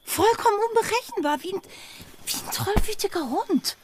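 An actress in a German radio drama playing a bold, freedom-hungry pirate's lover, speaking with earnest, intimate passion. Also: female voice, extremely high pitch, lively intonation, moderate pace, background noise. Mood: alarmed. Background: music.

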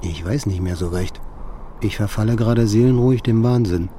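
An actor playing a weary, dreamy man slowly losing his mind, in a radio play. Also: fairly low pitch, warm tone, a little hiss or hum, lightly acted. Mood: weary, hesitant. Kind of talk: German radio play acting, interior monologue and dialogue.

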